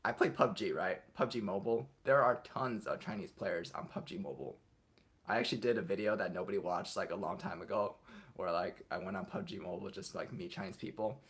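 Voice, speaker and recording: A young man hosting a casual livestream, reading viewers' comments aloud and answering them off the cuff in a relaxed way. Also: deep voice, fairly quick, slightly rough. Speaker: livestream host answering chat.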